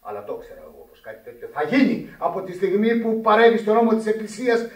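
A scolding parish priest in a radio play. His voice is high for a man, formal and emphatic as he admonishes. It sounds echoey and set well back from the microphone. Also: sing-song, recorded from a distance. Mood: scolding, indignant. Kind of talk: radio drama acting.

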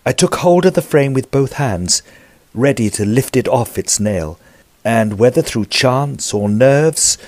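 A man's voice: medium pitch, animated, unhurried, darkish tone, no echo, natural voice. A man playing an earnest, polite Edwardian estate agent who narrates a mystery in an audio drama. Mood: measured. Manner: formal, polite. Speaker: narrator and character.

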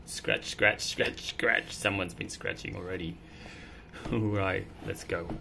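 A woman's voice: very deep voice, fairly even intonation, slow pace, vocal fry, sharp tone, slight background noise. A woman reading a picture book aloud to children, playfully chatting and explaining as she goes. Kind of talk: casual read-aloud for children with asides. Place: indoor room at a table.

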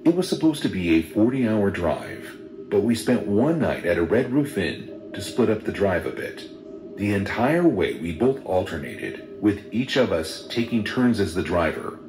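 A man reading a first-person horror story in a steady, measured storytelling voice. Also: on the low side, background noise. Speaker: narrator. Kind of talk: scripted horror story narration. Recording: roomy sound with music underneath.

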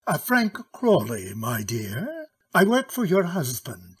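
Husky, slow-spoken courtly gentleman lawyer. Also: on the high side, wildly swooping pitch, heavily acted. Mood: courteous, warm. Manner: courteous, hesitant, deliberate. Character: courtly, mild middle-aged gentleman lawyer, cautious. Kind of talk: old-time radio drama acting.

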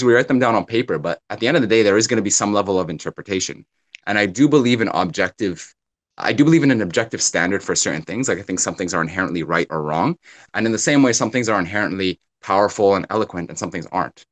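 Deep-voiced young man explaining earnestly. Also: fairly bright tone. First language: English. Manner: earnest, explains with everyday examples, many fillers. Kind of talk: casual debate on a video call. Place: small indoor room. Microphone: phone or laptop on a video call.

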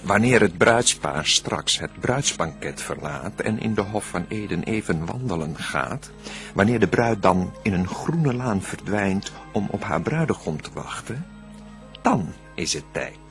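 Gloating demon tempter, slow and piercing. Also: male voice, fairly low pitch, animated, a bit bright, faint music behind. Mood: plotting. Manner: declamatory, drawn-out theatrical verse delivery. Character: gloating male demon tempter, vengeful, eager, boastful. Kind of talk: radio drama verse acting.

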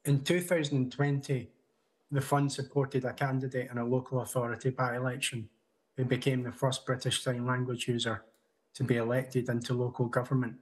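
A man in his thirties giving a prepared conference talk over a video call from a room at home, measured, with small restarts. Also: medium pitch, little pitch movement, slightly rough, rough recording. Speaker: conference speaker. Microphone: video call webcam.

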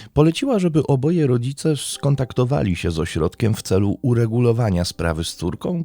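A man narrating a scripted true crime story in a measured, dramatic storytelling manner. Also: lowish voice, vocal fry, dark tone, breathy edge, no room sound. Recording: faint music behind. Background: faint background music.

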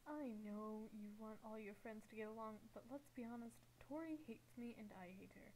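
A woman's voice: a little high, normal speed, darkish tone, natural voice. A woman voicing a sullen, resentful cartoon character in a blunt, grudging manner. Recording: noisy amateur home recording.

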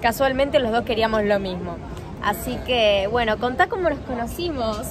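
A woman's voice: on the high side, lively intonation, moderate pace, crowd noise. A woman in her twenties with an Argentine accent, chatting casually and effusively to a phone camera as a vlogger. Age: 20s. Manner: effusive, gushing. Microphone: phone at arm's length.

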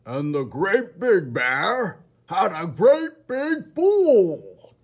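A man voicing a huge great big storybook bear with a gravelly, exaggerated, drawn-out delivery. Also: sits high, very animated, voice pushed up, full character voice. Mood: grand.

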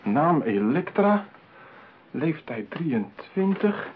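A man's voice: on the high side, sing-song, drawn-out words, full character voice. An actor playing a lonely, obsessive man besotted with an artificial woman, in an intimate, theatrical radio-play monologue. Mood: agitated reading. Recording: muffled narrowband audio with faint noise.

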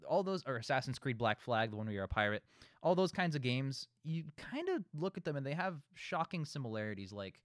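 A man's voice: medium pitch, big pitch swings, fairly quick, no room sound, studio quality. A man in his twenties hosting a casual group discussion, explaining his points at wordy, rambling length. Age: 20s. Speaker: host of a film discussion show.

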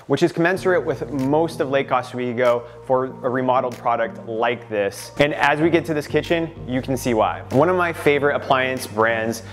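A man's voice: medium pitch, normal speed, hardly any pauses, faint music behind. Upbeat man touring a house. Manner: upbeat, informative salesman patter. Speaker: real estate agent giving a home tour. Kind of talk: walkthrough home tour narration. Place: inside a renovated house.

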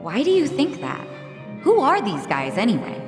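A woman's voice: a little high, wildly swooping pitch, moderate pace, music in the background. An actress playing a worried, earnest young woman in a dramatic video game. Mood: anxious. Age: young adult. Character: worried young hostess, guarded, earnest twin sister.

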